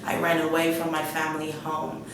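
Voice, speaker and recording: Middle-aged woman from Guyana, low and flat delivery, halting personal testimony, distant mic with clear background rumble. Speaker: interviewee telling her life story. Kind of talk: personal testimony, unscripted storytelling. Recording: echoey room, distant mic, rumbling noise.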